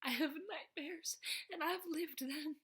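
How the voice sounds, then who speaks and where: A young woman performing slam poetry in an emotional, vulnerable, rhythmic delivery that drops into hushed phrases. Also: high voice, takes it slowly. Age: young adult. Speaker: slam poet.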